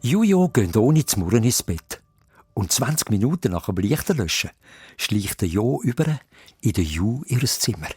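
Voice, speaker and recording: Animated Swiss male storyteller, lowish voice. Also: normal speed, breathy edge. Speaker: narrator. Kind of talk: animated scripted story narration for children.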